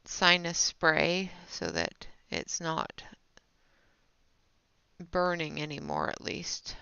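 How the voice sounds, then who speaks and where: A woman's voice: low voice, sing-song, takes it slowly, vocal fry, pushed and bright, clear, resonant tone, dry recording. A woman hosting a casual live coloring tutorial, chatting with viewers and talking through each step, sounding stuffy and sniffly with a sinus infection. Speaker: livestream art host. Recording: dull lo-fi, dry sound.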